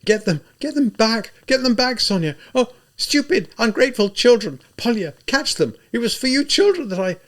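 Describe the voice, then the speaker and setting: A man putting on a shrill, frantic widow. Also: expressive, moderate pace, creaky. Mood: frantic screaming. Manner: shrill, haughty and hysterical. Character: proud, frantic, dying widowed gentlewoman.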